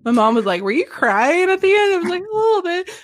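Giggly high-voiced Southern woman. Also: fast. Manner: giggly, animated, laughs often. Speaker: podcast co-host. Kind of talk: casual podcast chat, livestreamed.

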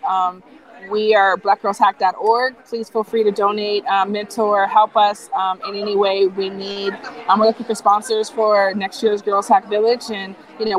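Enthusiastic woman, voice going hoarse. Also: mid-range voice, low-quality recording. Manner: relaxed, filler-heavy, enthusiastic. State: losing her voice. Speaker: founder of a nonprofit hacking group, guest. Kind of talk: casual live interview chat.

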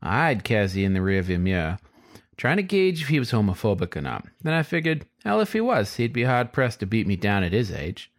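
A man's voice: on the low side, very animated, a little fast, no echo. A man reading a scripted first-person story as its narrator. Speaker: story reader.

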